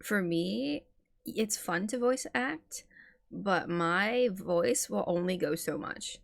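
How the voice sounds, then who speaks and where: A woman co-hosting a podcast in a relaxed, casual way, telling anecdotes and asking questions. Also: mid-range voice, vocal fry. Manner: relaxed, tells anecdotes, quick interjections. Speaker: podcast co-host and streamer.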